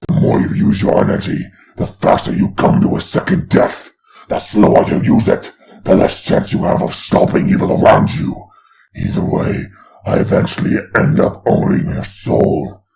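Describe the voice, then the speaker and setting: A man voicing a grandiose, taunting demon lord in a comic dub, with a gravelly, theatrical delivery. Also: on the low side, mellow, exaggerated voice. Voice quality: gravelly, creaky. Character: grandiose demon lord, menacing mocking father of hellspawn.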